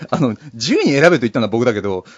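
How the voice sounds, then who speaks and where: A man's voice: mid-range voice, sing-song, racing, slight background noise. A man co-hosting a casual comedic talk show, chatting in a nagging, scolding, exasperated straight-man way. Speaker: radio show co-host. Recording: dull lo-fi dry recording.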